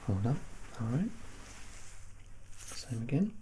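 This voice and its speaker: A soft-spoken man in a calm, reassuring ASMR medical exam roleplay, playing the examiner. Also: medium pitch, slow, noisy background.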